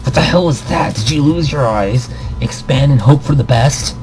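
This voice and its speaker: A man voicing a sharp-tongued female pro gamer mentor in a visual novel, blunt, sarcastic and critical, with music behind. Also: medium pitch, normal speed, pitched above the performer's own voice, lightly acted, rough recording. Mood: exasperated, critical.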